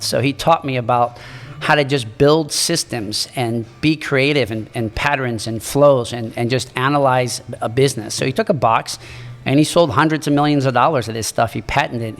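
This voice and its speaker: A man in his sixties from Morocco, a native French speaker, telling his life story as a podcast guest in a halting, earnest way. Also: medium pitch, long reverb tail. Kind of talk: reflective storytelling in an interview.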